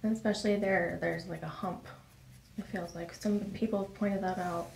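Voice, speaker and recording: A woman's voice: lowish voice, fairly even intonation, normal speed, gravelly, a little mellow. A woman in her thirties speaking casually as a patient describing her medical history. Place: indoor chiropractic office. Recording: roomy, distant, faint office noise.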